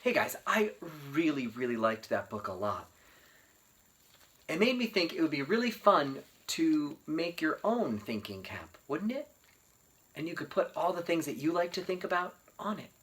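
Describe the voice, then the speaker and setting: Man, storyteller, bright voice, expressive picture-book read-aloud for kids, varied emphasis. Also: a little high, moderate pace, slightly rough.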